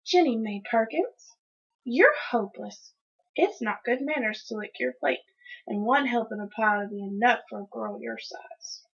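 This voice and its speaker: A woman's voice: fairly high pitch, a little slow, vocal fry, breathy edge, lo-fi sound, full character voice. A woman voicing an exasperated, no-nonsense country mother with a bossy, folksy way of scolding, from a children's storybook. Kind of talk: children's storybook read-aloud.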